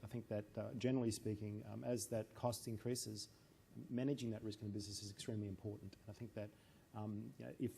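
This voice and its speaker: A man answers a panel question at a quick pace, with a gravelly voice. He hesitates and restarts often, and faint noise sits behind him. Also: lowish voice, mellow. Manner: hesitant, many ums and restarts. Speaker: panelist, business energy adviser. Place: conference room.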